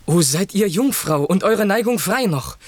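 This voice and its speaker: Ardent young prince, higher-voiced. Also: male voice, expressive, normal speed, dry recording. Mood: ardent, wondering. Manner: ardent, lyrical declamation. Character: noble young shipwrecked prince, lovestruck, grieving and proud. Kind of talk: 1960s German radio play acting.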